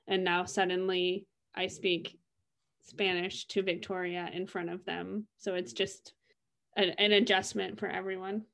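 A woman's voice: lowish voice, flat delivery, a little slow, gravelly, fairly bright tone. Woman, young adult, casual conversation, reflective co-host, discussing in an easygoing spoken style. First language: English.

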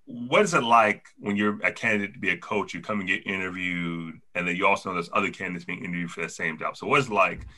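A man's voice: low-pitched, quick pace, hardly any pauses, bright, forceful tone. A man hosting a podcast interview, asking long rambling questions that he repeats and restarts. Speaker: podcast host.

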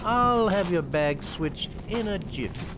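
An actor playing a smooth, menacing stranger with a folksy, falsely friendly manner in an old radio thriller. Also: male voice, sits high, expressive, a little slow. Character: smooth, menacing male stranger driving a lone woman. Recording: noisy old radio drama recording.

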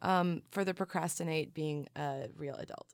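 A woman's voice: low voice, moderate pace, dry recording, clean studio sound. A young Canadian woman chatting casually as an interview guest, dryly joking and self-deprecating. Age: young adult. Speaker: musician and writer guest. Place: radio studio.

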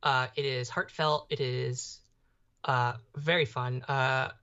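A man's voice: mid-range voice, steady tone, takes it slowly, pushed and bright, smooth. A young adult man co-hosting a podcast in a casual, rambling way. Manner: rambling, peppered with "like". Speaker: podcast co-host. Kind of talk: casual chat. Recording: slightly dull, muffled audio.

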